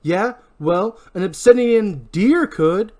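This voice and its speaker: An angry young drunk in an amateur script reading as a reader puts him on. The delivery is hammy and blustering, pitched well above normal talk, with wildly swinging intonation. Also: lively intonation, slow, a little mellow.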